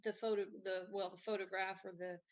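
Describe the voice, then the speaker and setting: A woman's voice: mid-range voice, little pitch movement, a little fast, muffled recording. A woman hosting a live show in a casual, rambling conversational way, chatting and reading out comments.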